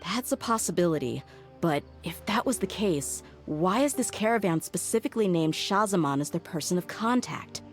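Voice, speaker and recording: A woman voicing a tough young female mercenary in a game cutscene, steady and loyal, thoughtfully reasoning things out aloud. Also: lowish voice, normal speed, faint music behind.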